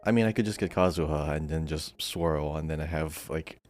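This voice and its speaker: A man's voice: very deep voice, a little fast, a little mellow, no room sound, faint music behind. A man co-hosting a gaming podcast, talking in a casual, conversational style. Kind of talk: casual chat.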